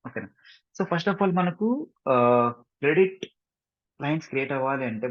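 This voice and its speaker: A man with a Telugu Indian accent giving a step-by-step software tutorial, mixing in English and often checking understanding with short tag questions. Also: medium pitch. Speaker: software instructor. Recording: muffled lo-fi mic, roomy echo.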